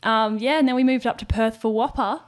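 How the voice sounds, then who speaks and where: A woman's voice: on the high side, fairly even intonation, a little fast. A young woman with an Australian accent chatting casually as a guest, giggly and rambling with fillers. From Western Australia. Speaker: guest, band musician. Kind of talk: casual interview chat.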